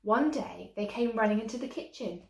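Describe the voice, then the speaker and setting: A woman's voice: a little high, lively intonation, moderate pace, gravelly. A woman storyteller reading a children's tale expressively, like a teacher to young listeners. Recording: echoey room.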